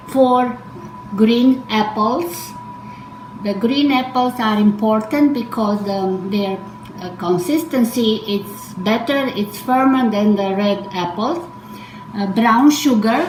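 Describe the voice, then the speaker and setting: Slow-spoken Romanian-accented woman in an echoey kitchen. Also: fairly high pitch. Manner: unhurried, warm-hearted, with fillers and self-corrections. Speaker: cooking demonstrator. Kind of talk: home cooking demonstration. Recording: echoey kitchen with background noise.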